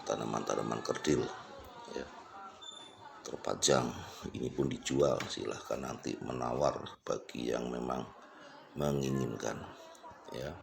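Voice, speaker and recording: A man's voice: rumbling low, fairly even intonation, unhurried, creaky, pauses often, background noise. A man giving a casual, unscripted walk-through commentary, pointing things out and repeating himself as he goes. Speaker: vlogger giving an exhibition tour. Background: crowd at an exhibition.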